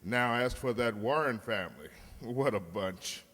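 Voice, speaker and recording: Male announcer, arch and measured, lively intonation, reverberant hall with faint noise. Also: medium pitch. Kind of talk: scripted radio play announcing, wry.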